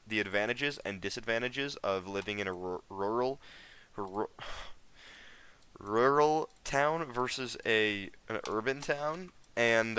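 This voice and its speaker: American teen streamer rambling to chat, kinda low voice, crisp and bright. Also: male voice. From United States. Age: teens. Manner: rambling, slangy, chatty. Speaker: game streamer. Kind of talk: casual live stream chat.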